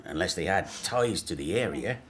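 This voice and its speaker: A man's voice: low-pitched, animated, moderate pace. A man playing a nosy, teasing small-town local in a radio drama. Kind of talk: naturalistic radio drama acting.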